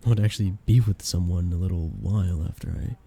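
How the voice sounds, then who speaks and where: A man's voice: sits low, fast, gravelly, dark tone, husky, full character voice. A man playing a shy young guy confessing love to a best friend in an intimate ASMR romance roleplay, tender and hesitant. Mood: nervous, hesitant.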